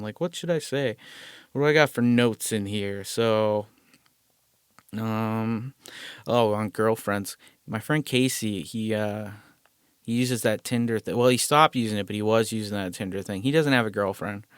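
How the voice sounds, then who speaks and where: A man hosting a casual solo podcast, rambling and profane with self-deprecating asides, recorded close on a mic in a small quiet room. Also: on the low side, normal speed, clear, resonant tone, dry recording. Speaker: podcast host.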